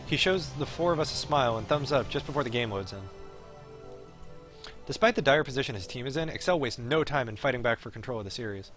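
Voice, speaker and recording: A man's voice: mid-range voice, animated, fast, a bit bright. A man reading a visual novel aloud on a stream, in dramatic narration with an esports commentary flavour. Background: faint game music.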